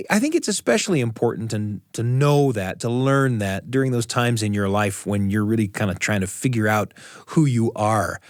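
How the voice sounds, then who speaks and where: A man's voice: medium pitch, no room sound. An American man hosting a storytelling radio show, speaking in a studio and narrating in a personal, conversational way.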